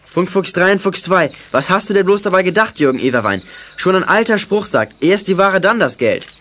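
A man's voice: sits high, expressive, a little fast, a bit bright, slight background noise. A young male actor playing a bossy, level-headed boy detective with clipped phrasing in a 1980s radio play. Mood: reproachful. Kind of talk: 1980s German youth radio play acting.

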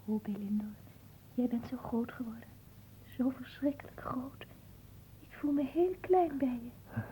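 A woman playing a coquettish, imperious young noblewoman in a radio play, teasing and breathy, with dramatic delivery. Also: fairly high pitch, expressive, measured pace, mellow, a little hiss or hum, low-quality recording. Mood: coquettish, possessive. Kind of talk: theatrical radio play acting.

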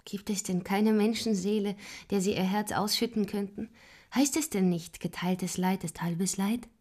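An actress playing a devoted, gentle gentlewoman in love in a German audio drama, tender and earnest. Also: medium pitch, fairly even intonation, normal speed, natural voice. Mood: concerned, tender.

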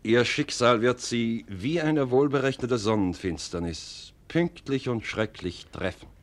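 An actor playing a loyal, coolly ruthless officer in a classical radio play, speaking with deliberate, formal stage diction. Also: male voice, mid-range voice, close to an everyday voice. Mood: coolly confident.

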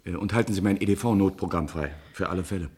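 A man playing a cautious mission control chief in a German science fiction radio drama, businesslike and authoritative, with a husky voice. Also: low-pitched, quick pace, darkish tone.

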